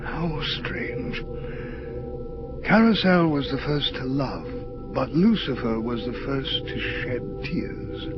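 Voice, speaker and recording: An actor playing a calm, knowing senior angel who speaks in a deliberate, coolly observant and detached manner. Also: male voice, medium pitch, natural voice. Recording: dull lo-fi audio under loud music.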